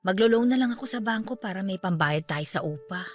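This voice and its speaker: A woman's voice: mid-range voice, lively intonation, brisk, close to an everyday voice. A woman acting a caring, grieving middle-aged aunt in a Tagalog radio drama, in natural conversational dialogue. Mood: resigned, practical. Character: grieving aunt who just lost her parents, caring, steadfast. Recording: music bed under the voice. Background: background music.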